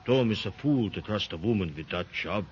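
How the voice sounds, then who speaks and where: An actor with a German accent playing a gruff, sneering German brute in a 1930s radio drama. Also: male voice, lowish voice, expressive, normal speed, natural voice. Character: brutish German man with a whip. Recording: dull lo-fi 1930s radio recording with faint hiss.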